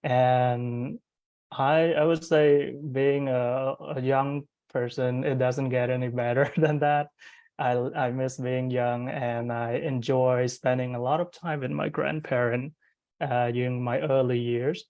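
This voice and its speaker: A young man from Cambodia, a guest on an interview call, talking in an earnest, halting way with many fillers and restarts. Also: medium pitch, flat delivery, darkish tone, dry recording, muffled recording. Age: young adult. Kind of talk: casual interview conversation.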